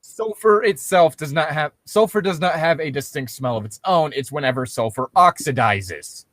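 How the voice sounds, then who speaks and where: A man with a slightly high voice and very lively intonation, recorded dry and close. He co-hosts a comedic reading, moving between storytelling and casual, sarcastic nitpicking. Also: moderate pace, no echo. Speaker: co-host of a comedic fan fiction reading show. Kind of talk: mocking read-aloud with casual commentary.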